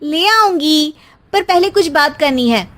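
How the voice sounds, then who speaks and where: Woman acting an exasperated, high-voiced overworked wife. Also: lively intonation, measured pace, noisy background. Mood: hurt, pleading. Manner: sarcastic, aggrieved retorts.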